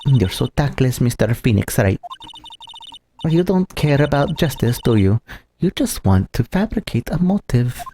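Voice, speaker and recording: A man voicing a haughty, taunting courtroom prosecutor in a video game, deliberate and condescending. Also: mid-range voice, big pitch swings, mellow, a little hiss or hum. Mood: scornful. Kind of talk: reading game dialogue in character.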